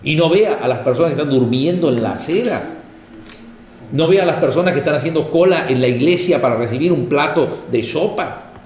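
A man's voice: on the high side, a little mellow, slight background noise. A man from Argentina giving a reflective talk to an audience, speaking in a discursive way that builds to rhetorical questions. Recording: echoey room with faint ambience.

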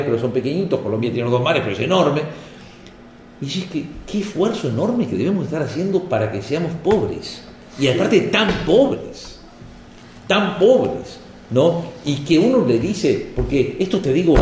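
A man's voice: a little high, a little mellow. Guy from argentina giving a talk, rhetorical questions, roomy echo, faint hum behind. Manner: discursive, rhetorical questions, building emphasis. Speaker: speaker at a panel talk. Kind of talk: reflective public talk to an audience. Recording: echoey room with faint ambience.

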